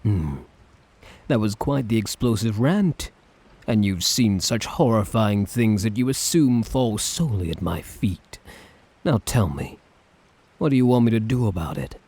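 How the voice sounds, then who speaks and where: A man playing a smug, obsessive dictator in an ASMR roleplay, theatrical and drawling, with condescending, menacing affection and a husky voice. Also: on the low side, expressive, unhurried, a little mellow, full character voice. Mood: amused, condescending. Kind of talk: ASMR roleplay, dramatic monologue to listener.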